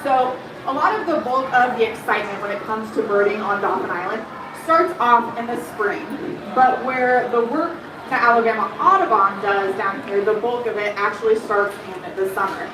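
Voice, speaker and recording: Woman educator, high-ish voice, loud and chatty talk to a crowd, heard from far off in an echoey room. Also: normal speed. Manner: chatty, asks the crowd questions, many ums; says she has a loud voice. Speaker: bird conservation educator. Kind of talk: public talk to a live audience. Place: room at an aquarium. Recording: echoey room, far from the mic, background noise.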